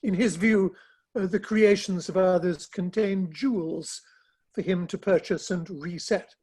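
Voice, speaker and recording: Man playing dry victorian gent, high raspy voice, formal, understated irony, play reading. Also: steady tone, a little slow, a little mellow. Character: dry, measured Victorian gentleman, mildly ironic confidant.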